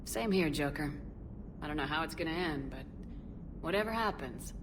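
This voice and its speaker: Deep-toned female commander, resolute, weary, measured and sincere, video game drama, noisy ambience behind. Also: animated. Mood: wry, warm. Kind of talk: cinematic video game dialogue acting.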